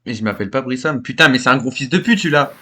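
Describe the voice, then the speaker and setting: A man's voice: mid-range voice, lively intonation, rapid-fire, pushed and bright, slight background noise. A teenage male gamer arguing in a casual voice chat, sweary, combative and indignant. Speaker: player recording a trolling video. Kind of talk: casual argumentative game voice chat.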